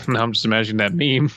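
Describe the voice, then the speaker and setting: Bright-voiced man bantering on a podcast. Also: lowish voice, no echo. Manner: profane, jokey, breaks off reading for asides. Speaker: podcast co-host. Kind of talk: casual chat and reading a story aloud.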